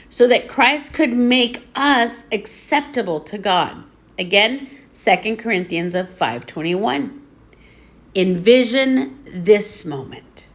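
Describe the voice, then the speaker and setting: Slow-paced woman reading devotional text aloud. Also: mid-range voice.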